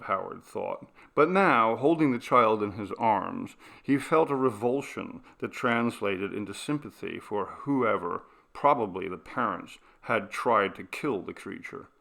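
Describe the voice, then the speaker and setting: Male audiobook narrator for horror fiction, bright forceful tone with gravelly creak, expressive and deliberate. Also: medium pitch. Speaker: narrator reading a horror short story.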